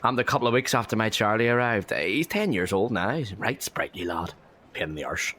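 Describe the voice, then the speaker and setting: A man's voice: on the low side, lively intonation, a little fast, a bit bright, a little hiss or hum. An actor playing a chatty, cheeky young Victorian working man and father in a historical audio drama, bantering jovially. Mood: fond, bantering.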